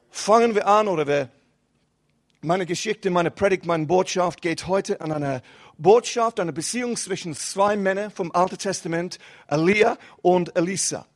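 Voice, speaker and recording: Young adult male guest pastor, non-native speaker with a foreign accent, high voice, casual preaching style. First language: not German. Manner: casual, mixes English words, rising and falling emphasis. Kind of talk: sermon.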